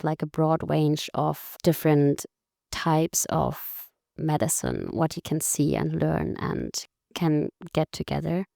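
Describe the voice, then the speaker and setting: A woman's voice: sits low, creaky, darkish tone, no echo, studio quality. A young woman, a medical student carefully explaining her research in an interview. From Germany. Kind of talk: interview answers.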